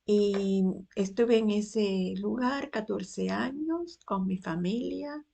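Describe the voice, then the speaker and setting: Slow, gravelly Cuban woman in her sixties. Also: medium pitch, mellow. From Cuba. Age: 60s. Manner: unhurried, pausing often between phrases. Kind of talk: personal faith testimony.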